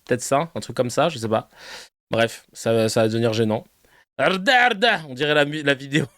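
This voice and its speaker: A man's voice: medium pitch, fairly quick, a bit bright, no room sound, studio quality. A man hosting a casual live show, reacting to clips with laughter, swearing and mocking asides. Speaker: streamer host reacting to clips.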